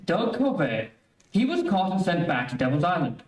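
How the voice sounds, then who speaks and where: An actor playing a worried, earnest surgeon in a 1930s radio drama, with formal and urgent delivery. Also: male voice, high-pitched, sing-song, normal speed. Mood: defensive. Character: worried, earnest male surgeon under death threat. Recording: roomy, distant-miked stage recording.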